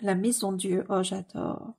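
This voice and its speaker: A woman with a cold gives casual, gushing commentary as she leafs through a book, often pausing on fillers and repeating her delight. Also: mid-range voice, little pitch movement, mellow, slightly rough. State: has a cold. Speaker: coloring book YouTuber.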